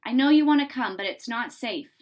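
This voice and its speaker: A woman's voice: fairly high pitch, lively intonation, brisk, natural voice. A woman voicing a kind, earnest young soldier in a storybook. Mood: serious. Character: kind young soldier Harry, man, devoted to his bear. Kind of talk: storybook read-aloud character.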